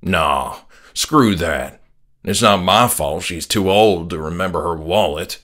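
A man's voice: deep voice, slow pace, fairly bright tone, exaggerated voice. A selfish, brash dad in a horror audiobook, as a male narrator plays him. He is snide and impatient, and has no patience for other people. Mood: dismissive. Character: rude, selfish, impatient middle-aged dad.

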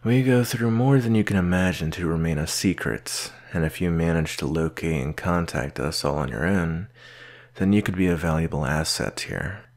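A man playing a stern, solitary research doctor in an audio roleplay, speaking in a measured, dry, curt manner with a gravelly voice. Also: low-pitched, darkish tone. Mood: patient, measured. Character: gruff male researcher doctor, solitary, stern but patient mentor. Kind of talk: slow audio roleplay acting addressed to the listener.

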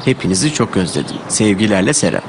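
A man's voice: on the low side, animated, fast, heavy background noise. A man voicing a kindly, encouraging young father in a children's cartoon. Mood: friendly.

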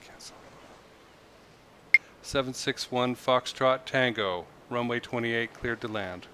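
A man playing air traffic controller, speaking in clipped, deliberate procedural phrases with muttered commentary. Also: medium pitch. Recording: very noisy, engine drone behind. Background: flight simulator aircraft ambience.

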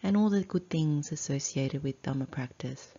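A woman leads a guided meditation in a low, mellow voice. She speaks very slowly and deliberately, setting out short phrases with long pauses between them, as a teacher instructing students. The recording is slightly dull. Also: husky.

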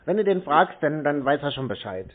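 A man with a slightly high voice talks casually over a narrow, tinny phone line. He plays the innocent prank caller, dogged and never flustered. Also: very animated, a little fast. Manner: unflappable, dogged, feigned innocence. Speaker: prank caller to scam call centres. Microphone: telephone.

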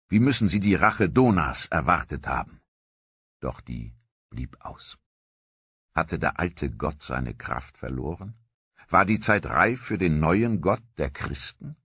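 A man narrating a history documentary from a script in a measured, grave storytelling manner. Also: deep voice, fairly bright tone, breathy edge, no room sound, clean studio sound.

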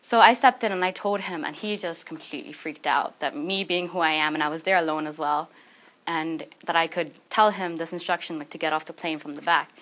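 A woman's voice: low voice, brisk, a bit bright, low-quality recording. A young adult woman, a student speaker, giving an informal, conversational presentation in a small classroom. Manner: conversational, anecdotal. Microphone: camera a few meters away.

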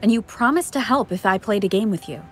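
An actress playing an earnest young heroine in a video game, speaking in a serious, measured, businesslike way. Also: female voice, mid-range voice, animated, faint music behind, lightly acted. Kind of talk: video game character dialogue.

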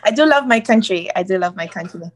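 A woman's voice: medium pitch, animated, fast. A woman educator from Saint Martin with a Caribbean accent, answering interview questions in a friendly, enthusiastic way. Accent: Caribbean English, Saint Martin. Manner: friendly, enthusiastic about her island. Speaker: educator, guest.

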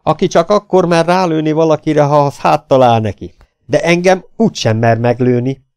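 A man voicing a formal, spirited young gentleman in an audiobook adventure story. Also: mid-range voice, expressive, no room sound, studio quality, close to an everyday voice. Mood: indignant, threatening. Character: earnest, defiant young gentleman, protective and hot-tempered.

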